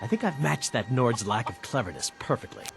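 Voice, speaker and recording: An actor playing a wry, disdainful wood elf mill worker in a fantasy video game. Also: male voice, medium pitch, expressive, fairly quick, vocal fry. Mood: scornful, jealous. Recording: music behind the voice.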